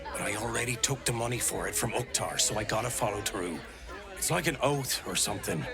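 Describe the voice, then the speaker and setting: An actor playing a stubborn, plain-spoken debt enforcer and loyal brother in a video game. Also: male voice, fairly low pitch, lively intonation, brisk. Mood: defensive. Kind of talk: video game character acting. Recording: music and crowd behind.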